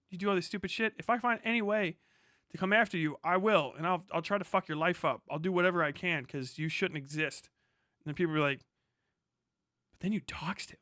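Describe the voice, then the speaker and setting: A man's voice: high-pitched, bright, forceful tone, no echo, studio quality. A man giving a casual, blunt, profanity-heavy livestream rant as host, talking straight to his viewers. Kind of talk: casual livestream commentary, reacting to viewer chat.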